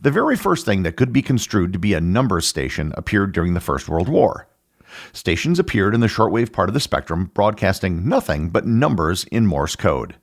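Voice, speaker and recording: A middle-aged American man hosting a podcast, reading scripted educational narration in a measured, explanatory way. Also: sits low, big pitch swings. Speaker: podcast host.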